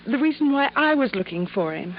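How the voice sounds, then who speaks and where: A pleading young niece. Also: female voice, high-pitched, big pitch swings, brisk, dull audio, a little hiss or hum. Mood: earnest. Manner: earnest, pleading. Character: devoted young woman pleading for her uncle. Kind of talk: 1950s radio drama acting.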